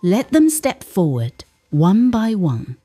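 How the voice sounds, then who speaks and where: A woman's voice: huge pitch swings, slow, dark tone, faint music behind, full character voice. A woman voicing a stern, commanding village king in a folktale, declaiming his orders. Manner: booming, declamatory.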